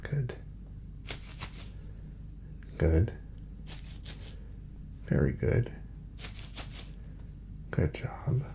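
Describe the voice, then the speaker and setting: A man with a low, heavily creaky voice speaks softly, often dropping to a breathy whisper. He plays a calm doctor in an ASMR exam, giving gentle, unhurried instructions with a lilting rise and fall, over faint background noise. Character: calm, courteous male doctor examining a patient. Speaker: ASMR roleplayer playing a doctor. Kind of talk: soft-spoken ASMR medical exam roleplay.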